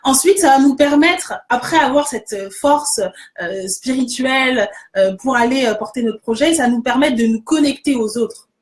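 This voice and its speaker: A woman's voice: high-pitched, normal speed. A woman hosting a casual conversation, agreeing enthusiastically and explaining with many fillers. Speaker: host.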